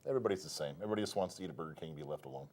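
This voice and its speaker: A man's voice: extremely low pitch, rapid-fire, darkish tone. A man appearing as a guest on a casual talk show, joking around. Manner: joking, puts on voices in stories.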